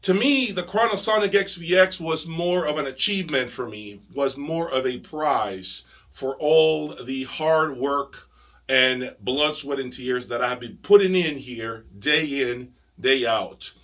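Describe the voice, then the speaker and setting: Bright, forceful man presenting a review. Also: medium pitch, measured pace. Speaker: audio review channel host. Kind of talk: enthusiastic product review presentation to camera.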